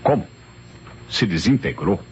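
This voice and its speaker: An actor playing a brusque, sceptical police inspector in an old radio drama. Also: male voice, deep voice, animated, a little slow. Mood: sceptical, irritated. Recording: dull old radio recording, heavy noise.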